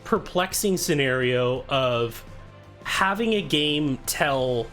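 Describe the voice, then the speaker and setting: A young man chatting casually on a live stream, greeting viewers by name and poking fun at himself, with a soft, soothing voice. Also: mid-range voice, expressive, slow pace. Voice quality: soft, told he sounds soothing. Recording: faint music behind.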